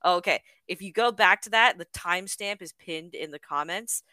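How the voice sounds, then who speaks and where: Bright, low-pitched woman host. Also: moderate pace, dry recording. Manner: chatty, trails off with fillers. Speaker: podcast host. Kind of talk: casual podcast chat.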